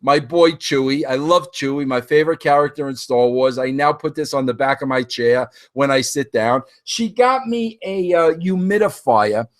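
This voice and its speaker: A man's voice: mid-range voice, moderate pace, few pauses. A man in his fifties hosting a podcast, talking casually and animatedly straight to his listeners, often rambling and restarting his sentences. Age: 50s. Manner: addresses listeners directly. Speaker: podcast host. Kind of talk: casual solo monologue.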